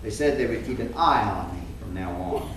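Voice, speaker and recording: A man's voice: fairly low pitch, fairly quick. A man playing a wry, exasperated cat owner, telling a comic first-person story theatrically in a stage read-through. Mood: sheepish, resentful. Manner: animated, expressive delivery. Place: indoor theater stage, recorded from afar. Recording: echoey theater, distant mic, faint noise.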